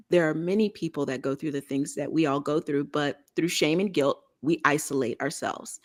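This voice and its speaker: Texan woman with a lowish voice. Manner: chatty, joking asides while giving announcements. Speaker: radio show co-host. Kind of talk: casual talk show chat.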